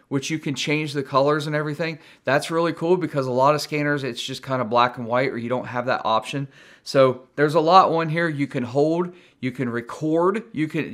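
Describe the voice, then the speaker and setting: A middle-aged American man talks through a product review in a casual way. His voice sits at an average pitch. He is plain-spoken and conversational, like a video host explaining gear to viewers. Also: a bit bright.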